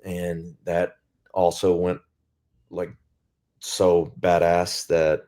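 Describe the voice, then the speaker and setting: A male singer with a naturally very deep voice, talking casually and reflectively in an interview, often pausing to find his words. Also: completely flat delivery, dark tone. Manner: reflective, searching for words with many pauses. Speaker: band singer as guest.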